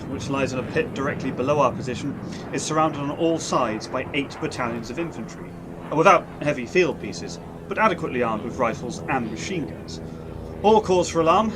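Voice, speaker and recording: A man's voice: medium pitch, noisy background, close to an everyday voice. A man playing a confident army signal captain reporting live from the field, in a 1930s-style radio drama. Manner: assured, then rising to alarm as he reports from the front.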